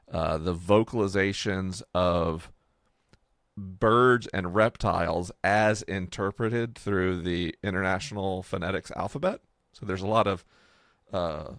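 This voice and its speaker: Lower-pitched man, close dry sound. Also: a bit bright, dry recording. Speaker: podcast co-host and world builder. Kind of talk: casual, unscripted explanation.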